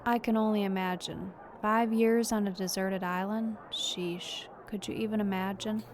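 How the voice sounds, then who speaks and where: A woman's voice: mid-range voice, fairly even intonation, moderate pace, lightly acted. Woman acting a frank, supportive friend, faint noise. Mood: casual, weary. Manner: conversational, frank. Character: candid, supportive female friend working in law enforcement. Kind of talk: audio drama acting.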